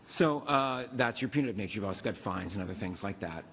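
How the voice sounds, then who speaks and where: A man from a city attorney's office, hoarse from a cold, presenting to a committee with many fillers and restarts. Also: lowish voice, animated, quick pace, a bit bright, slight background noise. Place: city council meeting room.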